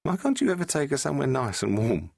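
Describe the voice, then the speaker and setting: A man's voice: mid-range voice, huge pitch swings, fast talker, vocal fry, darkish tone, no echo, studio quality, pitched above the performer's own voice, full character voice. A man voicing a feisty young woman, a cheeky adventuring companion in an audiobook, with an exclamatory delivery. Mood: cheeky, exasperated.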